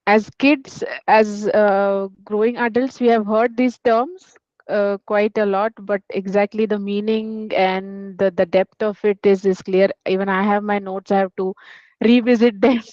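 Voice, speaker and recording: A woman's voice: mid-range voice, little pitch movement, a little slow, no room sound, muffled recording. Grateful woman giving thanks on a call. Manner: warm, grateful, hesitant with fillers. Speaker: organizer thanking the speaker. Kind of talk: closing remarks.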